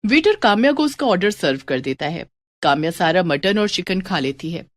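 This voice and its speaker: A woman's voice: fairly low pitch, lively intonation, moderate pace, dry recording. A woman reading scripted narration as a storyteller.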